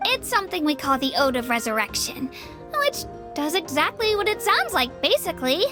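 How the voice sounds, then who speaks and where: Young girl adventurer: very high, lively, sweet yet unsure, music behind. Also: normal speed. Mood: earnest. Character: sweet, timid young girl adventurer, eager, self-doubting. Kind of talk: anime game voice acting.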